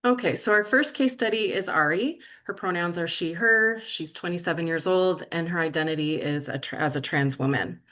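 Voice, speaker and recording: Low-voiced woman presenting. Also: fairly bright tone. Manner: measured, reads from notes with fillers. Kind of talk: conference presentation.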